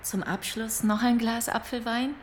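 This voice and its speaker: An actress in a German radio drama playing a polite, uneasy farmer's wife, accommodating but cautious. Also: female voice, fairly high pitch, steady tone, measured pace, slight background noise, close to an everyday voice. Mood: welcoming, polite.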